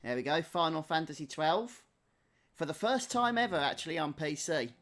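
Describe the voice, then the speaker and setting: A man's voice: on the high side, animated, normal speed, a bit bright. A man giving informal, chatty live commentary as a gaming streamer. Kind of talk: casual live game commentary. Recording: faint background noise.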